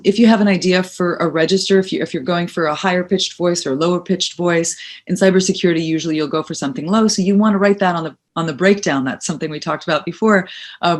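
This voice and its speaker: Woman voice coach giving practical advice. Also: on the low side. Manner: practical, emphatic, uses second person advice. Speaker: voice-over coach and actress. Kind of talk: interview answers, advisory.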